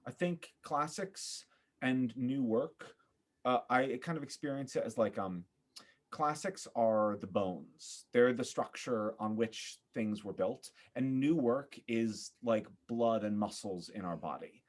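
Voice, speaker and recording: A man with a medium-pitched voice talks casually as a guest on a podcast. He is expressive and a little theatrical in how he puts things, in an informal conversational style. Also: slow. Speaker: former actor.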